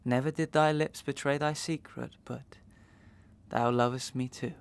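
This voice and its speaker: An actor playing an anguished, wronged young gentleman in a Victorian audio melodrama, speaking in declamatory, archaic, impassioned style with a creaky voice. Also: medium pitch, fairly even intonation, a little slow, close to an everyday voice. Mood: mournful farewell. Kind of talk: Victorian stage melodrama acting in an audio drama.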